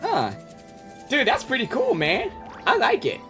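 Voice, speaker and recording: A woman streams her reactions to a video game in casual, informal speech. Her pitch moves around a lot as she talks back to the characters, with faint music behind her. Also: huge pitch swings, unhurried.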